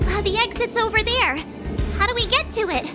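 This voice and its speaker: A teenage girl in a video game adventure. Her voice is extremely high, perky and anxious. Very loud music sits underneath. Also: brisk. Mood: anxious surprise. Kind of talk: video game cutscene voice acting.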